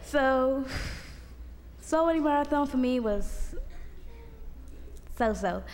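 A woman's voice: high-pitched, animated, slow pace, darkish tone. A young woman telling her church group a casual story as a student testimony, chatty and full of fillers. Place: church hall. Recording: long hall reverb, faint noise.

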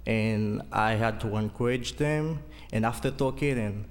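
A man from Haiti with a Haitian accent giving a church testimony as a student, in an earnest, halting way as he searches for words. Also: fairly low pitch. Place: church hall. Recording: long hall reverb.

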